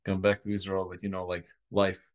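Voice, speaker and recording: A man from Ukraine, a company founder, answering interview questions reflectively, with frequent restarts and a voice that drops softly at the ends of thoughts. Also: low-pitched, monotone, a little fast. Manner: reflective, many fillers and restarts, trails off softly. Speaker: startup founder guest. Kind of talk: casual interview.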